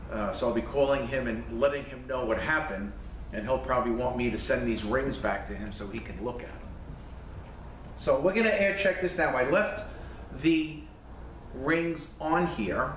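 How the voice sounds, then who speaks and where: A man in his fifties with a New York accent, talking casually and rambling a bit with fillers while he works on a transmission in a repair shop. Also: mid-range voice. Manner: plainspoken, rambling with fillers, hands busy working. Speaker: transmission mechanic. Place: cluttered indoor repair shop. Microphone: camera mic, a few feet away. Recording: echoey shop, distant mic, faint hum.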